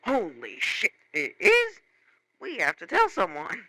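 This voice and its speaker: A man's voice: sits high, huge pitch swings, slow pace, pushed and bright, a little hiss or hum, full character voice. A man playing an awestruck, worried skywatcher in an audio drama. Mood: awed, worried.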